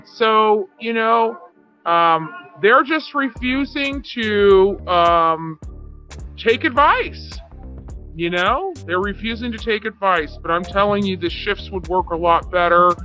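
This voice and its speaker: A woman's voice: mid-range voice, animated, slow pace, sharp tone, faint music behind. A woman giving a rambling, unscripted true crime commentary in a self-assured, boastful, argumentative way.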